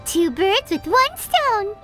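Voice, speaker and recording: A woman voicing a small, perky, childlike fairy companion in a video game, eager and excitable. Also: very high-pitched, slow pace, loud music behind the voice, exaggerated voice. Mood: gleeful. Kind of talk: video game character acting.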